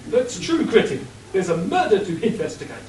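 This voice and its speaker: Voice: mid-range voice, sing-song, fairly quick, lightly acted. An amateur actor playing an earnest, officious police inspector in a radio play. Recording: roomy, distant, faint hiss.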